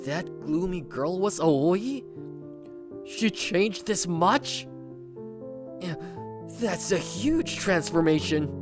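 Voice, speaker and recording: A man's voice: high-pitched, expressive, very slow, long pauses, heavily acted. A man voicing a kind, self-assured teenage schoolboy who narrates his own story in an anime-style dub, earnest and a little smug. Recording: music bed behind voice.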